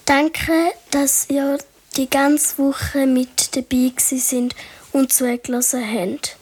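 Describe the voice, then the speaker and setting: Slow, high-voiced young woman interviewer. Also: level tone, darkish tone. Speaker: student interviewer. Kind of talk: reading interview questions.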